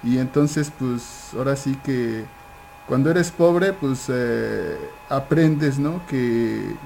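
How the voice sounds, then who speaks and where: A man's voice: medium pitch, slow, gravelly, a little mellow, slight background noise. A man speaking in an informal Bible discussion, hesitant and full of fillers and restarts. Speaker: participant in an online Bible study. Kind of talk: casual informal testimony.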